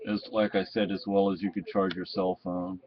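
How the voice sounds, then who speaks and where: A man giving a casual, unscripted product review in a halting, rambling way. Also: low-pitched, flat delivery. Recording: dull, muffled lo-fi sound.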